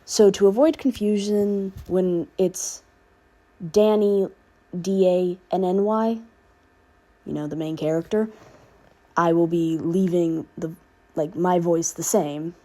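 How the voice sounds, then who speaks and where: A woman's voice: fairly low pitch, fairly even intonation, slow pace, long pauses, warm tone. A woman reading fan fiction aloud as an amateur narrator. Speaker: podfic reader and narrator.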